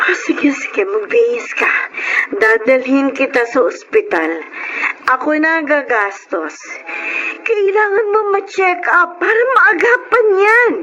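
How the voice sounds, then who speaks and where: Very high-pitched, caring big sister played by a woman. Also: big pitch swings, a little slow, pushed and bright, highs cut off, faint music behind, heavily acted. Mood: resolute. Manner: concerned, earnest, bossy. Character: caring, take-charge elder sister, grown woman. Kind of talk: Filipino radio drama acting. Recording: faintly noisy radio drama recording.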